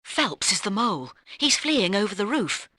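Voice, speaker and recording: Emphatic female spy teammate, lively pitch. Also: moderate pace, dry recording. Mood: alarmed, urgent. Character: brisk, cautioning female spy teammate briefing the agent. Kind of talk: video game voice acting.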